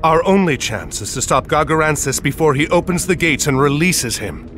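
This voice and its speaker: An actor playing a resolute heroic military commander in a video game cutscene. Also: male voice, on the high side, expressive, moderate pace, a bit bright, slight background noise. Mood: grave, determined.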